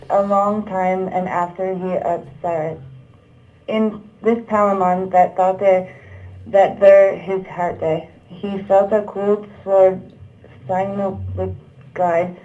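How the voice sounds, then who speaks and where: Woman, slow measured storyteller, verse recitation, declamatory, slightly muffled recording. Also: medium pitch, little pitch movement, dark tone. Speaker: narrator of a puppet show. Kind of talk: dramatic recitation of old verse.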